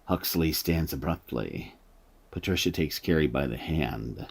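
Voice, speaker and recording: A man's voice: rumbling low, lively intonation, measured pace, vocal fry, breathy edge. A man hosting and narrating a radio drama as a dramatic storyteller. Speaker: host and narrator. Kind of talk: scripted narration.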